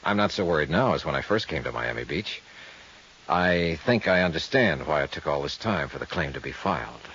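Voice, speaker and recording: A male actor with an American accent playing a cool, steady insurance investigator in a 1950s radio detective drama. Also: extremely low pitch, a little fast, a little hiss or hum. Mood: calm, reassuring. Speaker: lead actor and narrator of a radio detective serial. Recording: muffled 1950s radio recording.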